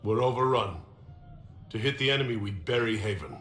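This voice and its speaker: A man's voice: lowish voice, lively intonation, a little fast, a bit bright, a little hiss or hum. A man playing a determined, defiant hero in a fantasy game, blunt and urgent. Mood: alarmed. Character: defiant, determined hero leading against an invading army. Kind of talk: dramatic fantasy game acting. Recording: echoey, with faint music behind.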